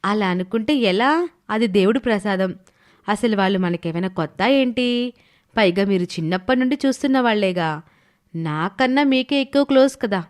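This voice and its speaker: A woman's voice: mid-range voice, expressive, moderate pace, natural voice. A woman playing a stubborn, thrifty young village wife who explains herself earnestly in a moral story cartoon. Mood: brisk, persuasive. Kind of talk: animated moral story voice acting.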